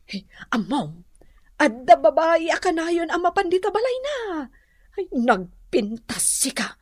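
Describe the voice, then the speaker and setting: Very high, theatrical Ilocano gossip. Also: female voice, a little slow, no echo, clean studio sound, heavily acted. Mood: teasing, gossipy. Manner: theatrical, expressive. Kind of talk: Ilocano radio comedy drama acting.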